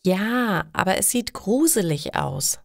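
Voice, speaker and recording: A woman voicing a curious, daring schoolboy in a slow storybook reading for language learners. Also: mid-range voice, animated, gravelly, no room sound, clean studio sound. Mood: eager. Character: curious, daring schoolboy Daniel, eager and cheerful. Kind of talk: acted dialogue in a learner's storybook.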